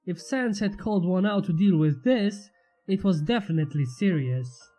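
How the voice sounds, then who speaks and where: A woman's voice: on the low side, lively intonation, moderate pace, mellow, faint music behind. A woman narrating fan fiction as a channel host, reading scripted prose expressively.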